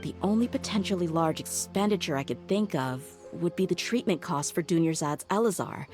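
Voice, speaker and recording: A woman voicing a tough young female mercenary in a game cutscene, steady and loyal, thoughtfully reasoning things out aloud. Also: on the low side, a little fast, music in the background, natural voice.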